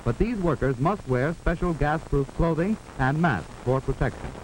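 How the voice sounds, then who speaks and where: An American man narrating a 1940s instructional film in a formal, authoritative, measured way, on an old film soundtrack. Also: fairly high pitch, lively intonation, slight background noise, low-quality recording. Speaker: narrator of a wartime civil defense instructional film.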